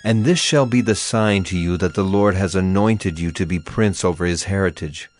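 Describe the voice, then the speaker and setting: An actor playing an authoritative male prophet in a dramatized audio Bible, measured and declarative. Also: low voice, slight background noise, natural voice. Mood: grave, assured.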